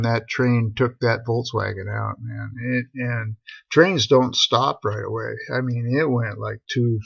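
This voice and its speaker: Middle-aged male storyteller, laid-back and slangy, gravel in the voice, dull lo-fi stream sound. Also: mid-range voice, fairly bright tone, dry recording. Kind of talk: casual rambling personal anecdote. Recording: dull, boxy lo-fi live stream audio.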